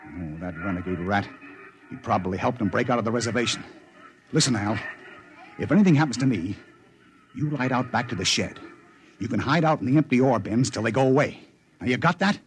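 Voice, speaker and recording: An actor playing a tough, hot-tempered frontier father in a 1950s radio drama, barking gruff orders. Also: medium pitch, a little fast, long pauses, natural voice. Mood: tense. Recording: hissy old radio transcription.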